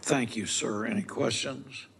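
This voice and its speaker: A man's voice: mid-range voice, fairly even intonation, a little slow, creaky, a bit bright, slight background noise. A man chairing a committee hearing, matter-of-fact and procedural, spelling out names.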